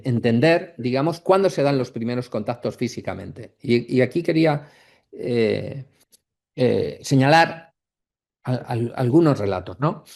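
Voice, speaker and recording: A man giving an academic lecture off the cuff, reflective and halting, often checking in with short question tags. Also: medium pitch, gravelly, a little mellow. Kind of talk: masterclass lecture, speaking off the cuff.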